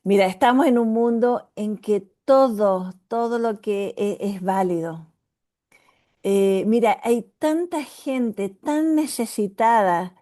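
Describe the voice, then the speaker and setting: Woman guest from a ministry, talks slow, keeps searching for words, soft mellow tone. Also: a little high. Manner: hesitant, searching for words, warm laughs. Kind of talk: conversational interview answer.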